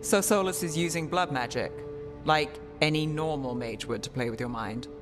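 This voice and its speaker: A woman voicing a wounded, cautious woman mage who speaks in a measured, skeptical way, in game dialogue. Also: deep voice, natural voice. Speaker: party companion. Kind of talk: video game voice acting. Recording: game dialogue with clear music, long reverb.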